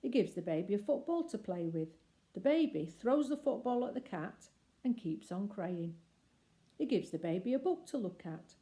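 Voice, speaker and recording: A woman reading a children's story aloud expressively, with deliberate phrasing and emphatic pauses. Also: medium pitch, animated, dark tone.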